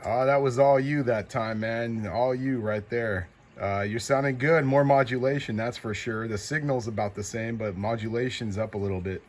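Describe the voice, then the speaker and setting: A man with an average-pitched voice works a CB radio as host of his channel. He talks casually, in short call-outs and acknowledgements that often repeat. There is light noise and some clicking behind him. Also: moderate pace, few pauses. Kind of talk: casual CB radio contact calls.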